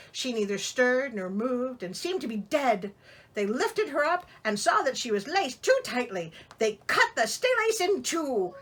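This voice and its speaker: A woman's voice: on the high side, pushed and bright. A woman reading a storybook aloud in a theatrical way, breaking in with sarcastic, exasperated comic asides. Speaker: storyteller, host of a reading show.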